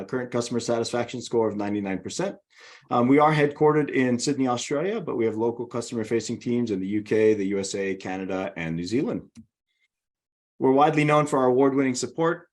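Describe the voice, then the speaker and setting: A middle-aged man with a Hawaiian accent, presenting a webinar over a video call in a friendly, organized way. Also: medium pitch. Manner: friendly, organized, walks through slides.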